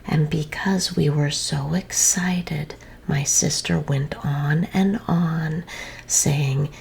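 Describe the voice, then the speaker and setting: A woman reading a children's story aloud in a soft, gentle whisper. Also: low-pitched, fairly even intonation, slow pace, vocal fry.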